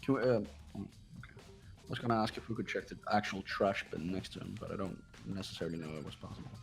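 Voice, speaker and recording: Gravelly low-voiced man chatting. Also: quick pace. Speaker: player in group game playthrough. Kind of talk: casual group chat. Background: faint music.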